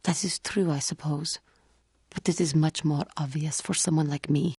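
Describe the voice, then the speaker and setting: A low, dark female voice for a feline alien warrior monk in a video game. She is solemn and proud with old wounds underneath, choosing formal words in a guarded, earnest manner. The tone is husky and close. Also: steady tone, no echo. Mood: bitter, wounded. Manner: formal, measured, guarded, earnest, avoids contractions. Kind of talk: video game character dialogue.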